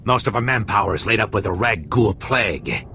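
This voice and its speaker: An actor playing a cowardly pirate medic in a video game, blustering and stammering. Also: male voice, on the low side, animated, brisk, sharp tone, a little hiss or hum. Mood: nervous. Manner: blustering then backpedaling, stammering. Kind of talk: game cutscene acting.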